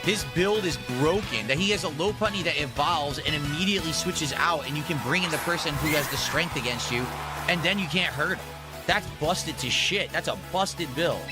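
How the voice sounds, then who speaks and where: Male gamer, high voice, frustrated and profane, music playing behind. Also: moderate pace. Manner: pleading, frustrated, profane ranting. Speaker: gaming streamer. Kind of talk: live gameplay commentary.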